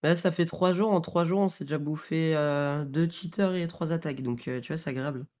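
A man's voice: fairly high pitch, fairly quick, a little mellow. A man talking as server staff in a casual voice chat, colloquial and matter-of-fact. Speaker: game server staff member.

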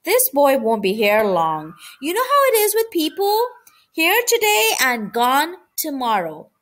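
Woman as a bossy uncle mouse, slow and emphatic. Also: sits high, wildly swooping pitch, fairly bright tone, full character voice. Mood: bossy, objecting. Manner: emphatic, admonishing. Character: gruff, cautious elder uncle mouse, bossy and ominous.

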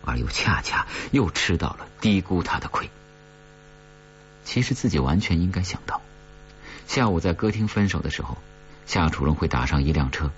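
Male horror audiobook narrator, very deep, dramatic scripted read, slightly muffled recording. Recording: dull recording with mains hum.

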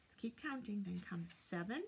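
A woman's voice: medium pitch, expressive, normal speed, slight background noise. An older woman doing a children's read-aloud, teaching in a sing-song way and putting questions to her young listeners. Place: small indoor room lined with bookshelves.

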